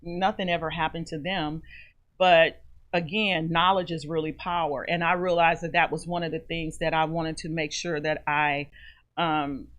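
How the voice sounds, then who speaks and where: A woman's voice: deep voice, level tone, moderate pace, fairly bright tone. A woman guest on a radio interview, telling her personal story in an earnest, heartfelt way. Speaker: guest, author and foundation founder.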